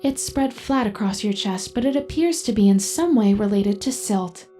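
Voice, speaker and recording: A composed female AI companion, played by a woman, narrates an audio drama. The voice is soft-edged and mellow, with music playing behind it. Every sentence is formal, precise and measured, as if delivering an analysis. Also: medium pitch, expressive, lightly acted. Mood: calm, analytical. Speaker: narrator and in-character host.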